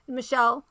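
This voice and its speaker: A woman hosting a casual livestream, talking straight to her viewers by name and repeating herself, her voice going raspy. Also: pushed and bright, no echo, studio quality. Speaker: livestream host.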